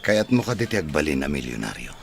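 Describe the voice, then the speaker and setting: Brash, desperate schemer played by a man for radio drama: deep voice, big swings in pitch. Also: sing-song, fast, heavily acted. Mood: persuasive. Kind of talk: Ilocano radio drama acting.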